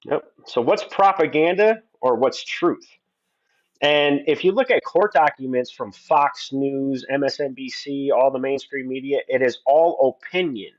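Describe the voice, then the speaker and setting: A man's voice: mid-range voice, unhurried. Earnest man in his forties, muffled lo-fi line. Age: 40s. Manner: earnest, talks at length with restarts. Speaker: guest. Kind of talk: opinionated conversation.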